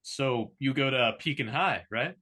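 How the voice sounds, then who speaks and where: A man's voice: mid-range voice, unhurried, pushed and bright, husky. A man reading the part of an awkward, privileged young heir in a drama table read, talking in a casual, rambling way. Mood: amused, casual.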